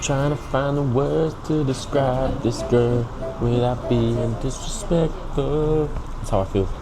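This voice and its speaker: A man's voice: mid-range voice, slow pace, over loud music, poor audio. A young British man talking casually and informally as a food vlogger, now and then dropping Mandarin phrases into his English. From England. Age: young adult. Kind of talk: casual food review vlog.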